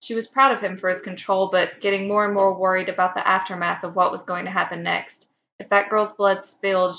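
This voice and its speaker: A woman podcast host speaks at a middling pitch. Her voice is bright and sharp, though the recording is a little dull and lo-fi. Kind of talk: casual banter.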